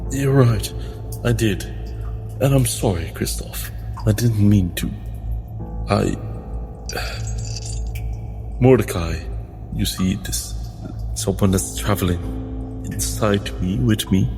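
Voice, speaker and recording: A man voicing a guilt-ridden, apologetic necromancer in a tabletop game, halting and breaking off mid-phrase. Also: fairly low pitch. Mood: remorseful, confessing. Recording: long reverb, clear music behind.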